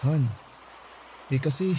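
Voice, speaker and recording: A man's voice: on the high side, slow pace, warm tone, slight background noise. A man playing a guilty, affectionate husband in a Filipino radio drama, hesitant, stammering and pleading. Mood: cornered, nervous.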